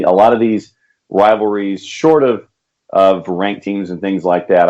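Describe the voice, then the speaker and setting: A man's voice: low voice, darkish tone. An American man co-hosting a sports show, giving wordy, conversational commentary. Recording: dull lo-fi remote audio.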